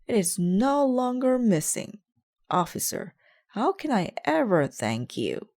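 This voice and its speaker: An earnest storybook dinosaur detective, played by a woman reading aloud, bumbling and easily excited. He speaks slowly and emphatically, raised above a normal low storytelling register. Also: heavily acted. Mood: delighted, excited. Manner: emphatic, exclamatory.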